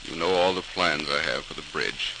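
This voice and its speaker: An actor playing a driven, determined bridge engineer and father in 1940s radio drama, forceful and decisive. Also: a bass voice, normal speed, creaky. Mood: grave, commanding. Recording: noisy, crackly old radio transcription.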